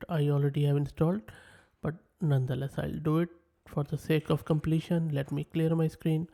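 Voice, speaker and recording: A man who is a native Urdu speaker, presenting a software tutorial in a methodical way and narrating each step as he types. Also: fairly high pitch. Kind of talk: screen-share software tutorial.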